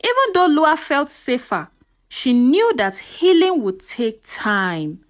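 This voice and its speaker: High-pitched, animated woman storyteller. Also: slow pace. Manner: dramatic, earnest, addresses listeners directly. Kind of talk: expressive scripted folktale narration.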